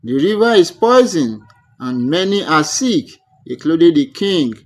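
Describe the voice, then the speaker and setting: A man's voice: on the high side, big pitch swings, a little slow. A man voicing a worried village elder in a folktale, humble, hesitant and imploring. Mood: pleading.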